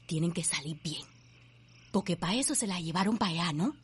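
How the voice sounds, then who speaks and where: A woman's voice: lowish voice, animated, fairly quick, husky. An actress playing a blunt, outspoken servant woman with a Cuban accent in a radio soap opera. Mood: sharp, insistent.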